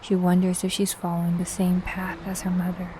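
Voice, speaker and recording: A woman narrating a scripted audio drama in a measured, suspenseful storytelling manner. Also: lowish voice, monotone, mellow, slight background noise, rough recording. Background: faint music.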